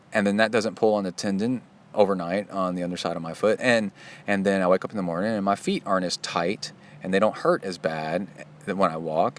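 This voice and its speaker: A man's voice: on the low side, fairly bright tone. A middle-aged man hosting a podcast outdoors in a park, talking in a loose, chatty way with jokey asides. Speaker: podcast host and triathlon coach. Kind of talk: casual rambling podcast monologue.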